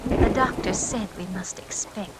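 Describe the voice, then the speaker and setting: An actress playing a reproachful wife under a hypnotist's control in a 1940s radio drama. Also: female voice, mid-range voice, measured pace, natural voice. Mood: reproachful, concerned. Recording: old hissy 1940s radio broadcast.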